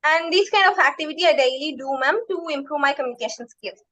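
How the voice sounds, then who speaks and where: A woman's voice: high-pitched, fast, sharp tone. A young woman with a Tamil accent, a Tamil native speaker, answering interview questions politely and hesitantly, often saying ma'am. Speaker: English learner and YouTuber, interviewee. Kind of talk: conversational interview.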